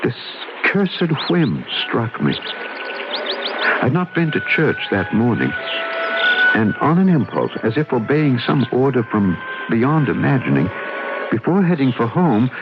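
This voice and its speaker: A man's voice: medium pitch, sing-song, unhurried, heavy background noise. An actor playing a troubled, melancholy man in an old radio drama, telling his story in brooding, literary phrases. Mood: brooding, reflective. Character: troubled, melancholy man recovering from nervous breakdown. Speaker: actor narrating and playing the lead. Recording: muffled old radio broadcast with music.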